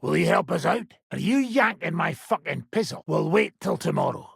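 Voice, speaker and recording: Gravelly, raspy old mercenary captain. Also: male voice, a little high, big pitch swings, normal speed, no echo, clean studio sound, heavily acted. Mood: stern, commanding. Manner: blunt, sardonic, commanding, swearing. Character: gruff, foul-mouthed ageing medieval mercenary band leader. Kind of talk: video game voice acting.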